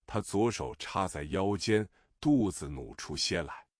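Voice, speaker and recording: A man's voice: low voice, lively intonation, a little slow, no echo, clean studio sound. A man reading a novel aloud as narrator, expressively voicing the characters' lines. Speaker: audiobook narrator.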